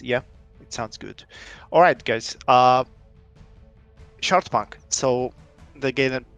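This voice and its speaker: A young Polish man talks through his indie game on a live stream, English not his first language, heavy with fillers and restarts. His voice sits in an ordinary middle pitch for a man. Faint music plays softly in the background. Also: gravelly. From Poland. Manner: rambling, lots of fillers and restarts.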